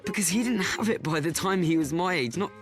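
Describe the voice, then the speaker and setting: A young actor playing an anxious, self-doubting teenage boy, confiding in a halting, stammering radio play monologue. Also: sits high, lively intonation, music in the background. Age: young adult.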